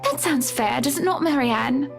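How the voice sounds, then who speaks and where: A woman's voice: high-pitched, lively intonation, moderate pace, vocal fry, music in the background. A woman playing a chatty, self-assured wealthy wife in a dramatic visual novel, with a breezy, assertive manner. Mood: defensive, assertive. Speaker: game character.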